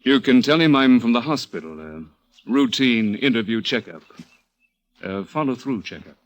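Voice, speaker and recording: An American man acting as a cunning, controlling young murderer in a radio thriller, with an insinuating, halting, deliberate delivery. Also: mid-range voice, expressive, natural voice. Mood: insistent, smooth. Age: young adult. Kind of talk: radio thriller acting with first-person narration.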